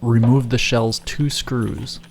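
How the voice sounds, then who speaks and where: A man's voice: mid-range voice, little pitch movement, slow. A man hosting a how-to video, talking casually and giving repair instructions. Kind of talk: casual chat and how-to narration. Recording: roomy, clearly noisy, clattering.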